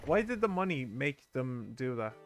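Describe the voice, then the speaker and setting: A man's voice: medium pitch, big pitch swings, moderate pace. A man hosting a let's play who chats, jokes and comments casually on the game. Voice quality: chatty and joking, reading game text aloud. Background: game music.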